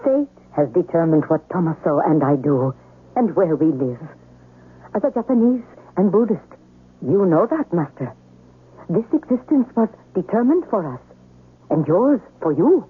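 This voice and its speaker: A humble, anxious servant wife in a vintage radio play. She sounds husky and speaks with solemn deference, through a thin, narrow old broadcast. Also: on the low side, very animated, normal speed. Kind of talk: 1970s American radio drama acting.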